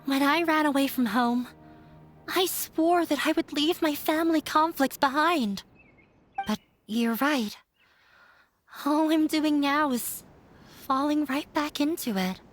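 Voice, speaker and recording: A woman's voice: high-pitched, takes it slowly, faint music behind. A woman playing a proud, determined young runaway in an anime video game, earnest and resolute. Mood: reflective.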